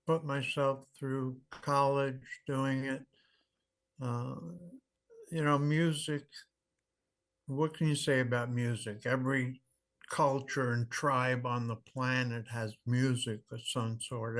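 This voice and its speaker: A man in his sixties, a guest in an interview, telling stories in a measured, reflective way. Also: medium pitch, little pitch movement, a bit bright. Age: 60s. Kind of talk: casual interview conversation.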